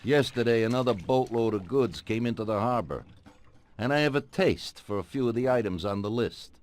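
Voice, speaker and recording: An actor playing a genial but domineering older mafia boss in a video game, with an expansive, patronizing manner. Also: male voice, medium pitch, normal speed, dry recording, natural voice. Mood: relaxed, persuasive. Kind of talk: video game cutscene acting.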